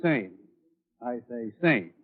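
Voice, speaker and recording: An actor playing a calm, probing doctor with a restrained clinical manner in a 1950s radio thriller. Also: male voice, mid-range voice, slow pace. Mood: stern, clinical. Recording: muffled, narrow-band old radio recording.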